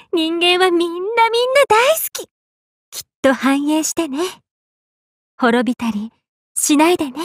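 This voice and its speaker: A woman's voice: high-pitched, no room sound, clean studio sound. A woman voicing a tall, affectionate big-sisterly sun goddess in an anime game, swinging between bubbly and tender. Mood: fond, teasing. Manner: swings between excited exclamations and gentle, tender speech. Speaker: game character. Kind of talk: Japanese anime game voice acting.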